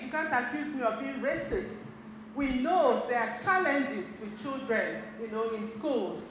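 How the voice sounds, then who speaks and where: A woman's voice: high voice, a bit bright. A middle-aged Igbo woman, telling stories and speaking directly to a seminar audience, laughing often. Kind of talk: motivational talk to an audience. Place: community center hall. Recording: very echoey hall with noise.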